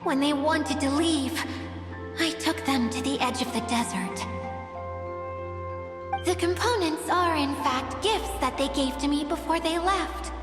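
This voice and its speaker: A woman voicing a shy, excitable water spirit maiden with a sweet, bashful, theatrical manner in a video game. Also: sits high, unhurried. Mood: gently earnest. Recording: very echoey, long reverb, music behind. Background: music.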